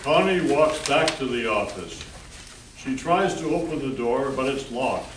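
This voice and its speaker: Guy narrating a community play, unhurried, echoey, faint background noise. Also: medium pitch, lively intonation. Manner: deliberate storytelling. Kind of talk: scripted narration of amateur play. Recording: roomy, distant mic with background noise.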